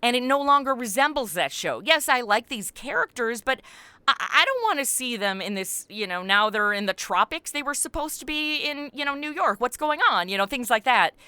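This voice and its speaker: A woman with a bright, forceful voice and very clean tone talks as a guest in an interview. She explains things in an expansive, animated storytelling style, and the sound is dry and close. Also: a little high, moderate pace, clear, resonant tone, no echo. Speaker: podcast creator and writer, interview guest. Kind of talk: conversational interview answer.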